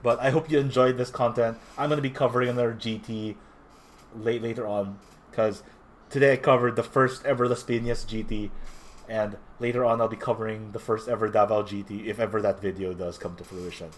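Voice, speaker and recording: A man's voice: fairly low pitch, fairly even intonation, fairly quick. Young man, slightly nasal, a little sick, casual recap, frequent self-corrections. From Philippines. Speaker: tournament player reporting.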